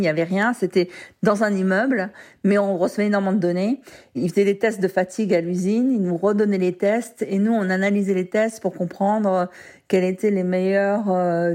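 A woman's voice: mid-range voice, a little mellow. A woman in her fifties, an executive guest, telling her career story in a casual interview, chatty and dropping English words in. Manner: chatty, sprinkles English words and lots of "en fait".

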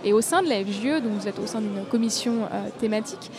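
A woman's voice: mid-range voice, lively intonation, quick pace. A woman interviewing a guest at a busy trade fair, asking long winding questions with many hesitations. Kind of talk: event interview. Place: busy trade fair, outdoors or large hall. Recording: crowd noise behind. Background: crowd chatter.

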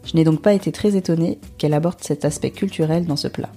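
A woman from France hosting a podcast, reading her scripted introduction in a measured way. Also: deep voice, dark tone, faint music behind. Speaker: podcast host.

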